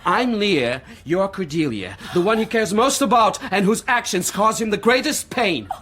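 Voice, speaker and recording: A man's voice: high voice, animated, normal speed, a bit bright. An actor playing an anguished, volatile young man in a radio drama, theatrical and intense in his phrasing. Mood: ranting. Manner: declamatory, intense, archaic poetic phrasing. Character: anguished, volatile young man, a self-styled savage quoting Shakespeare.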